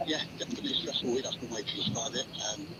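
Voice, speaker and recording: A man's voice: mid-range voice, very fast. A man giving a radio news interview as a business guest, speaking hesitantly and repeating words. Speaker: haulage operations manager, interview guest. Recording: muffled shortwave radio with static.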